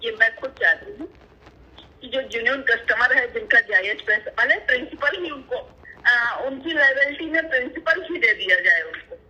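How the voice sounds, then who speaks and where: A woman's voice: a little high, lively intonation, moderate pace, pushed and bright. A woman speaking earnestly and deferentially on a phone call, pleading her case over a noisy line. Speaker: customer on the call.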